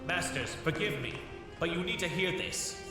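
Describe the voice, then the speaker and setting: A man's voice: high voice, animated, moderate pace. A man playing an earnest, deferential soldier reporting to his superiors in a comic dub. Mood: urgent, respectful. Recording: echoey, distant, music underneath.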